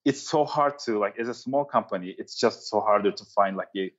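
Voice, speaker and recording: A man from Turkey with a Turkish accent, answering interview questions in a halting way with many fillers. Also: lowish voice, lo-fi sound. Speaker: guest, company founder.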